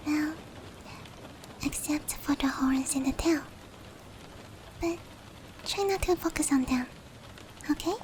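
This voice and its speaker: A woman voicing a female sleep paralysis demon in a breathy, whispered roleplay ASMR style, with hushed, close, drawn-out phrasing. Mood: apologetic, tender. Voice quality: breathy, whispery, high-pitched. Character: female sleep paralysis demon, teasing menace turning tender. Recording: steady rain noise behind voice.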